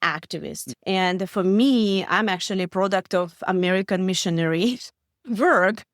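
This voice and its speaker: A woman's voice: medium pitch, a little slow, dry recording, clean studio sound. A middle-aged woman from Ukraine with a Ukrainian accent and Russian as her first language, answering interview questions in an earnest way with small stumbles and non-native grammar. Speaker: guest, refugee aid director.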